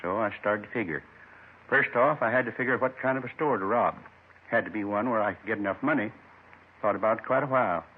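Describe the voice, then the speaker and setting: A muffled 1950s radio drama recording carries a lonely old man in his seventies. His voice is husky, his manner gentle and folksy, and he rambles wistfully in short, plain phrases as he confesses. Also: fairly low pitch, fast talker. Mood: matter-of-fact. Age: 70s.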